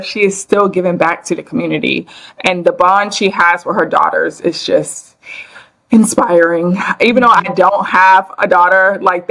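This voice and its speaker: A woman's voice: on the low side, gravelly, fairly bright tone, low-quality recording. A young woman co-hosting a podcast, giving upbeat, effusive introductions in a casual way. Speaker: podcast co-host.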